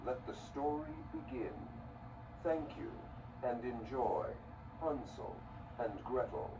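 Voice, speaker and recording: Slow, formal male theatre announcer. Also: mid-range voice, animated. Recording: noisy, distant theatre recording.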